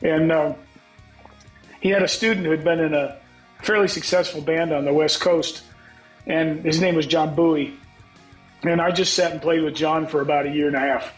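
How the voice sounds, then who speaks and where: A middle-aged man with a scratchy voice answers in a frank, reflective way and swears now and then. His voice sits a little high. The call audio sounds echoey, as if he is in a bare room at home. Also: fairly even intonation, moderate pace, pauses often, soft background music. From United States. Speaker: guest songwriter. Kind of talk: candid interview talk.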